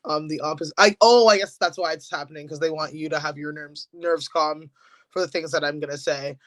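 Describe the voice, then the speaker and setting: A woman's voice: sits low, fairly even intonation, a little fast, a bit bright, no room sound. Chatty, nervous woman telling a story. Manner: nervous, chatty, laughs through her words. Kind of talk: casual comic storytelling.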